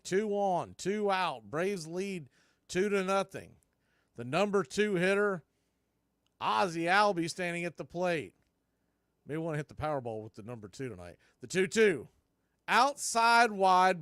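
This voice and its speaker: A man's voice: high-pitched, a bit bright, clear, resonant tone, no room sound, clean studio sound. A man doing live baseball play-by-play as a stream host, mixing clipped calls with folksy, joking asides and casual chat.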